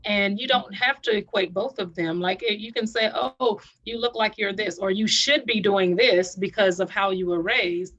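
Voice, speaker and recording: Lady chatting in an online discussion, middle pitch, kinda dull lo-fi sound. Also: moderate pace, few pauses, fairly bright tone. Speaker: symposium participant. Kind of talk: informal discussion comment. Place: video call. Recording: dull lo-fi video call audio.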